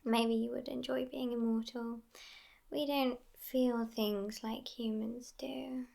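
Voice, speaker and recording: A woman voicing a sassy, affectionate immortal vampire girlfriend in an ASMR roleplay, hushed and often whispering, with a languid delivery. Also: on the high side, fairly even intonation, slow, vocal fry. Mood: tender, reassuring.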